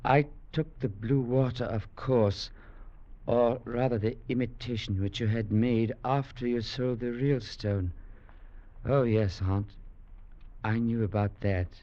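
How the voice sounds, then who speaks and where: An actor playing a grave young English legionnaire, narrating in an earnest, measured, formal way in 1940s radio drama style. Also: male voice, mid-range voice, steady tone, warm tone, natural voice. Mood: subdued, solemn. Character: grave young English legionnaire recounting his brothers' tragedy. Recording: muffled 1940s radio recording with music.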